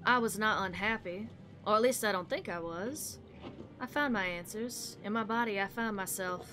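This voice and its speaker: A woman voicing a wistful, introspective young female taxi passenger in a visual novel, speaking softly and reflectively. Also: mid-range voice, normal speed, faint music behind, close to an everyday voice. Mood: wistful, subdued. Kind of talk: visual novel read-aloud.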